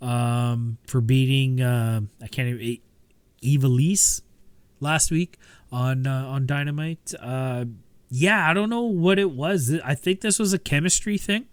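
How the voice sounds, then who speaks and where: Male podcast co-host, mid-pitch, casual and rambling, dry close sound. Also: smooth, no room sound. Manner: relaxed, rambling with many fillers and restarts. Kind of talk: casual chat.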